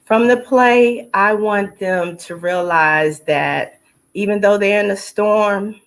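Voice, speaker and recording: A woman's voice: mid-range voice, takes it slowly. Heartfelt middle-aged woman, emphatic and testimonial. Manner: heartfelt, emphatic, with preacher-like repeated phrases. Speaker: stage play actress and singer, interview guest. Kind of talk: personal, testimonial interview answers.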